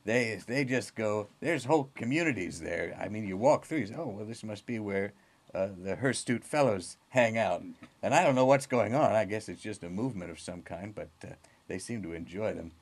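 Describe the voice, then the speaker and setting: A man with a fairly low voice hosts a podcast interview. He talks in a folksy, rambling manner with a comic bent, often doubling back on his words. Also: expressive, normal speed. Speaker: podcast host.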